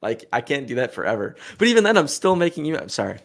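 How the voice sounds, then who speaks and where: A man's voice: medium pitch, very animated, fast, gravelly. A man in his twenties, a musician answering an interview in a rambling, heated way, full of fillers and crude insults. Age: 20s.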